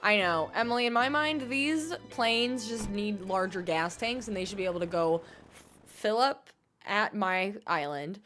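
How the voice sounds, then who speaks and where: A woman streaming a game, chatting fast and casually with her viewers. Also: medium pitch, a bit bright, faint music behind. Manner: fast-talking, chatty, bubbly.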